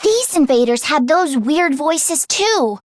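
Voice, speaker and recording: A woman voicing a nervous, expressive teenage girl fighter in an English video game, with casual youthful phrasing. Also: sits high, slow pace, no echo, studio quality. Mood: serious, uneasy.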